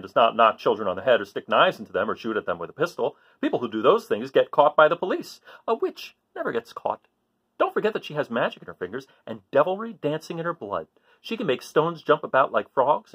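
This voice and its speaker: Man reading aloud with swooping, animated pitch. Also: breathy edge, muffled recording. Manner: theatrical, emphatic, drawn-out suspenseful phrasing. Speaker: storybook reader for children. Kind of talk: dramatic read-aloud of a children's book.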